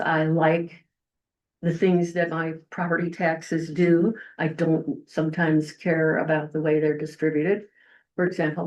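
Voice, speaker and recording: An older woman from the Midwest with a loud voice, giving a rambling, folksy public comment at a county meeting. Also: on the low side, poor audio. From Eldora, Iowa.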